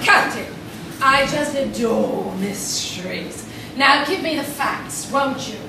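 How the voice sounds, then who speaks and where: A woman's voice: sits high, lively intonation, slow, vocal fry, far from the mic, noisy background, heavily acted. Lady doing a posh society wife, playful n affected, drawn out n swoopy, comedy radio play, echoey crowded theatre. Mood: eager.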